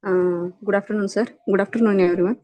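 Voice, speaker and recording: A woman's voice: medium pitch, fairly even intonation, warm tone, no room sound. Hesitant Indian woman, close dry sound. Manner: hesitant, halting. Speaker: audience member asking a question. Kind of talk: polite question at an online event.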